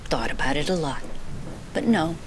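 A tough young woman talks in a low, hard voice. She is guarded and terse, in a motion-comic drama with rain and thunder noise behind her. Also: expressive, normal speed. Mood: cold, threatening. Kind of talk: dramatic audio-drama acting.

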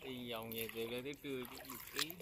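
A man's voice: medium pitch, steady tone, fast. A man with a southern Vietnamese accent chatting casually as he works outdoors, some way from the phone. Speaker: villager catching tiny shrimp. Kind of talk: casual chat while working. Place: outdoors in a shallow muddy pond. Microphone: several steps from the phone. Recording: faint distant voice, loud water noise.

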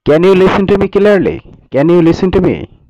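A man in his twenties with a Bangladeshi accent, chatting casually on a video call in halting English, repeating short simple phrases into an earphone mic. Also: sits high, warm tone, no room sound, dull audio. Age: 20s. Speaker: online English conversation partner. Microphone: earphone wire mic held at the lips.

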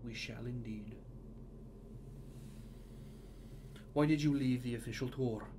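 A Cold War Soviet captain, acted by a man, questions in a formal, measured way with quiet menace. His pitch is average for a man, and clear background noise sits behind this radio-play voice. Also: close to an everyday voice. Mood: probing. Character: calm, menacing Soviet secret police captain, late thirties. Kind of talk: audio drama acting.

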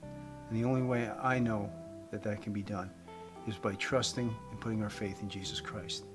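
A man in his fifties giving an earnest evangelistic talk to camera as a host, phrasing deliberately with emphasis. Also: on the low side, steady tone. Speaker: host giving a faith message. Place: indoors, room with wooden beams. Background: guitar music.

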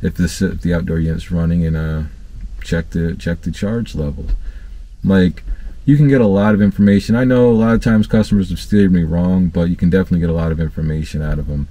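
A man in his thirties talks through work tips from the cab of his parked van. His voice sits very low and mellow, and he keeps it loose and casual, stopping for ums and restarting his sentences as he goes. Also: brisk. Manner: relaxed, conversational, many fillers and restarts. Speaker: HVAC technician and channel host. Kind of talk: casual training talk to camera.

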